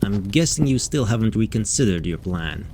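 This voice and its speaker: A man's voice: lowish voice, very animated, normal speed, mellow, slight background noise. A man acting as a showy game show host in an audio drama, with theatrical presenter patter. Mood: weary, resentful.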